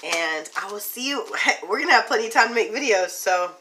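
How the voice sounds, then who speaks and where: A woman's voice: medium pitch, lively intonation, brisk, sharp tone, far from the mic. A woman in her thirties chatting informally and reassuringly to her phone in a casual home vlog. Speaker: vlogger. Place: home kitchen, indoors. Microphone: phone at arm's length. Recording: noisy home phone recording.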